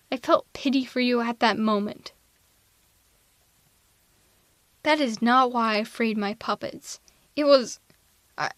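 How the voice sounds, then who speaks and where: A woman playing a haughty, sardonic telepathic villain in an ASMR roleplay audio drama, theatrical and quick to justify herself. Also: on the high side, no room sound, natural voice. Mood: flustered, indignant. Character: female telepathic villain, haughty, misanthropic, secretly soft-hearted. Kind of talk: ASMR roleplay audio drama, close one-sided dialogue.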